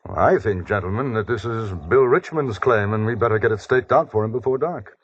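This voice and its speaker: A man playing a calm, principled gentleman in an old radio western, speaking in a measured, polite, articulate way. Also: fairly low pitch, slight background noise, lightly acted. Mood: firm, polite. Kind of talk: old-time radio western acting and first-person narration. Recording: dull old radio recording with music.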